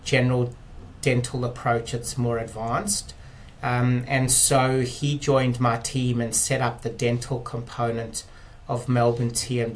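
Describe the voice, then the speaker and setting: A middle-aged man with a South African accent, speaking at an ordinary pitch. He explains at length in a measured, unhurried way, pausing on fillers and restarting phrases. A faint hiss sits under the voice. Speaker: guest, clinic director. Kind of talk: interview talk.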